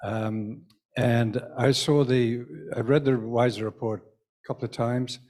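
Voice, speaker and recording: A man's voice: medium pitch, fairly even intonation, unhurried, a little mellow. Wry man commenting at a parish meeting. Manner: wry and well-informed. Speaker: parishioner asking a question. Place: church meeting room.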